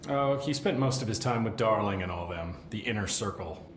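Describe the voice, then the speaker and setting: An actor playing a fussy, deadpan middle-aged keeper of strange items, with dry rambling talk and awkward fillers. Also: male voice, on the low side, brisk, natural voice. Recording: echoey game cutscene with faint hiss.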